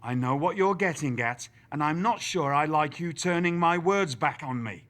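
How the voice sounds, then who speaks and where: A shrewd, dramatic boss in a wrestling game. His high voice moves in big swings, with formal, wry, showmanlike phrasing. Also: animated, normal speed, fairly bright tone. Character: shrewd, dramatic male wrestling general manager. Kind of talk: theatrical video game cutscene acting.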